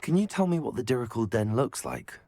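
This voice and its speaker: An actor playing a polite, helpful young wizard student, the hero of a video game, speaking in an earnest, measured way. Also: male voice, medium pitch, big pitch swings, vocal fry, mellow. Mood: courteous, concerned. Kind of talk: video game protagonist dialogue. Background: background music.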